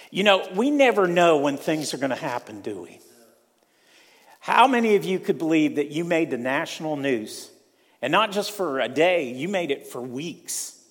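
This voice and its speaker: An older man from Ohio preaching a sermon in a church, slow and emphatic, repeating key lines. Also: on the high side. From Ohio, USA. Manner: slow, emphatic preaching with repetition and rising intensity. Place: church sanctuary. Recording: long hall reverb.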